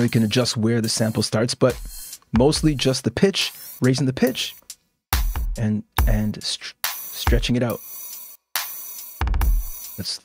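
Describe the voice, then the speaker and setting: A middle-aged man hosting a casual music production tutorial, explaining step by step in an informal way and often checking in as he demonstrates. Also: medium pitch. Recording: music and drum samples playing behind. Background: instrumental music and beat loops.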